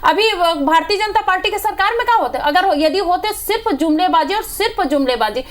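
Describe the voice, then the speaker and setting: A woman's voice: very high-pitched, expressive, a little fast. A woman party spokesperson with a Chhattisgarhi accent arguing in a political TV debate, combative and emphatic as she makes accusations.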